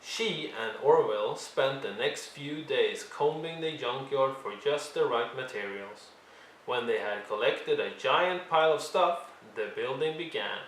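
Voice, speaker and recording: A man reads a storybook aloud for young children. His delivery is expressive and deliberate, leaning on key words for drama. The recording is roomy and echoey, with faint noise behind him. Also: mid-range voice. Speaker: storyteller reading a picture book aloud. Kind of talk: expressive read-along narration for young children.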